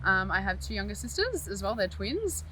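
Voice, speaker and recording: Bright-voiced young Australian woman. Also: medium pitch, big pitch swings, slight background noise, poor audio. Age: young adult. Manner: measured, explaining patiently. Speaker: interviewee. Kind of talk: casual interview answers.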